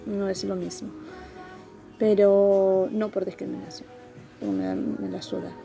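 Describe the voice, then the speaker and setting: A middle-aged woman from Peru, with a Peruvian accent mixed with Spanish ones, telling stories in a casual interview, lively, swearing freely and laughing often. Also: mid-range voice, measured pace, vocal fry, soft background music. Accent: Peruvian Spanish mixed with Andalusian and Madrid Spanish. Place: Seville, Spain.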